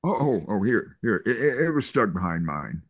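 An older man voicing a kind reverend, speaking gently with hesitant fillers over a video call. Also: fairly low pitch, very animated, normal speed, breathy edge, dry recording, clean studio sound, exaggerated voice. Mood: gentle. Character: kindly older male reverend. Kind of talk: Zoom play reading.

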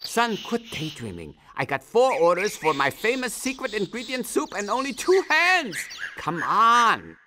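Very high, bright, fussy cartoon father. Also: wildly swooping pitch, a little slow, hardly any pauses, a little hiss or hum, exaggerated voice. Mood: nagging. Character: fussy, fond old father running a noodle shop. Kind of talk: animated game voice acting.

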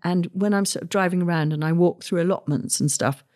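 A woman's voice: lowish voice, a little fast, darkish tone. A middle-aged woman hosting a gardening podcast, talking in a chatty, practical way with asides and restarts. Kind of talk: casual solo podcast talk.

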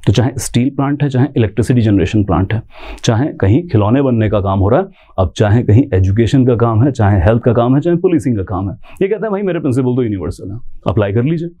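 An Indian-accented man gives an explanatory coaching lecture. His mid-pitched voice is mellow and rises and falls in a very lively way. He teaches conversationally, stressing key terms and posing rhetorical questions. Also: fast talker. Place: indoor teaching studio in front of a screen.

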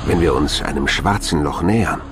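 A man reading scripted documentary narration in a measured, dramatic way. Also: very deep voice, noisy background. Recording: voice over a music bed. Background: music.

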